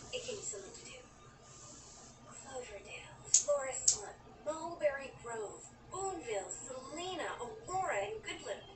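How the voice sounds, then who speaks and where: A woman's voice: high-pitched, roomy sound, recorded from a distance, background noise. A woman playing a young, wry New Yorker grieving a friend, in an audio drama that mixes dialogue and narration. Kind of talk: audio drama acting with first-person narration.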